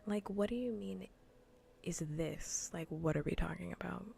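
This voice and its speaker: Gravelly, half-whispered woman streamer. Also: on the low side, moderate pace, mellow, slight background noise. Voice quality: breathy, often whispering, gravelly fry. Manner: casual, rambling, repeats phrases. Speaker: livestreamer. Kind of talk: soft ASMR gaming livestream chat. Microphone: close ASMR microphone.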